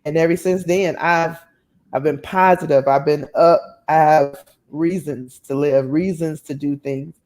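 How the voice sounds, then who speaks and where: A woman's voice: low voice, fairly even intonation, unhurried, a little mellow. Woman guest, earnest and heartfelt, shares her faith testimony. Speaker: guest storyteller.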